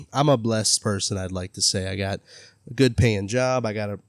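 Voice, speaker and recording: A man with a fairly low voice hosts a casual interview in an earnest, friendly way. His sound is dry and close-miked. He chats openly and plugs his own channel now and then. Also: normal speed, dry recording. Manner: earnest, friendly, self-promoting. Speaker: interviewer, gaming content creator.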